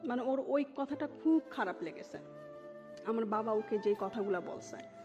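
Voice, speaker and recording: A woman's voice: on the high side, lively intonation, moderate pace. A woman with a Bangladeshi accent telling a personal story in an interview, mixing English words and phrases into her talk. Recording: muffled audio with music underneath. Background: music.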